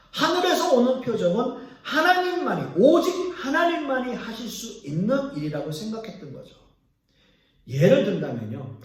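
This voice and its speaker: A male pastor preaching a sermon, explaining scripture and putting questions to the congregation. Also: high voice. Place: church sanctuary. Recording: echoey church hall from far off.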